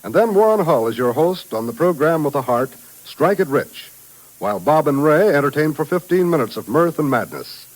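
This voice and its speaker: A man's voice: fairly high pitch, big pitch swings, normal speed, rough recording. An American man announcing on 1950s radio, reading a script in a polished, declamatory way. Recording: vintage radio broadcast, faint music.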